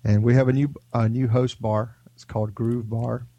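Gravelly man with a head cold. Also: medium pitch, little pitch movement, normal speed, no room sound, highs cut off. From Houston area. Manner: rambling, laid-back storytelling. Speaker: podcast co-host. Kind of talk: casual chat.

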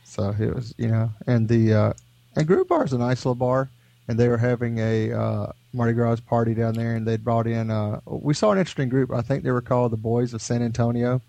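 A man's voice: mid-range voice, fairly even intonation, brisk, gravelly, warm tone, no room sound. A man co-hosting a podcast, sick with a head cold, telling stories in a rambling, laid-back way. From Houston area. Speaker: podcast co-host. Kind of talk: casual chat.

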